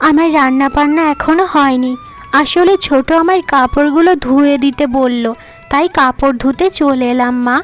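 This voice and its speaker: A woman voicing a kind, hardworking young wife in a cartoon, speaking patiently and softly. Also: sits high, normal speed, soft background music, close to an everyday voice.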